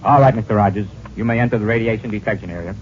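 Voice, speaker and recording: An actor playing a clipped, official checkpoint guard in an old-time radio drama. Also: male voice, lowish voice, rapid-fire, close to an everyday voice. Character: brisk, procedural security guard at a checkpoint. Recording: muffled, noisy old radio recording.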